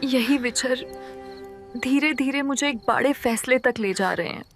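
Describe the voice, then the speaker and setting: A woman's voice: high-pitched, a little slow, a bit bright. A woman playing a poor, kind-hearted village woman who narrates her moral story in an earnest, reflective way. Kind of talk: scripted first-person moral story narration. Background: background music.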